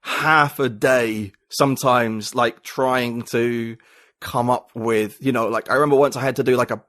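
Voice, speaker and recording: A man's voice: medium pitch, fairly even intonation, measured pace, fairly bright tone, no echo. A man speaking as a podcast guest in a casual conversation, rambling and full of "like" and "you know".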